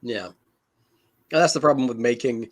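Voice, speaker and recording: A man's voice: medium pitch, normal speed. A man chatting casually as a panelist in a discussion among fans. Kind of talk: casual fan chat.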